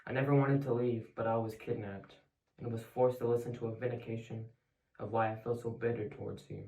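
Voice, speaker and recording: A teenage boy, a high school student, reciting his own poem at a reading. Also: flat delivery, brisk, vocal fry, warm tone. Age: teens. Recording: roomy echo, distant mic.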